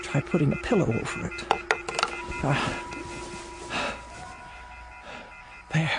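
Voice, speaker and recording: A woman's voice: low voice, moderate pace, loud music behind the voice, lightly acted. An actress playing a frightened, insistent elderly invalid woman in a radio drama, querulous and emphatic. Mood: troubled, bewildered. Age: older.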